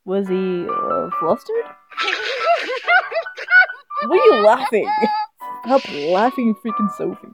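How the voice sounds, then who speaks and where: A woman's voice: mid-range voice, wildly swooping pitch, slow pace, long pauses. A woman streaming a visual novel, giggly and amused, reading text aloud casually and stumbling over it, game music behind her. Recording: slightly dull sound, game music behind.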